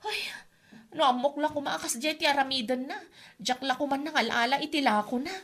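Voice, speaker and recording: A put-upon wife who owes money in a comedy radio drama. Her high voice is bright and sharp, full of plaintive excuses and defensive complaint. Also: a little fast. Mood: complaining.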